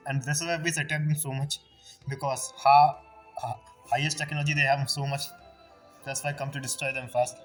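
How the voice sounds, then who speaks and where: A woman's voice: low-pitched, rough recording. A woman gaming streamer giving casual live commentary, slangy, exclamatory and mocking. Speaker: gaming streamer reacting to story.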